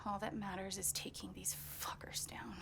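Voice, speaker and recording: A woman playing a determined young female investigator thinking aloud in a video game, with a husky, creaky voice. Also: mid-range voice, little pitch movement, moderate pace, fairly bright tone, whispered, close to an everyday voice. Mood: determined, musing. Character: determined young woman investigator, dead man's little sister. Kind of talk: game character inner monologue.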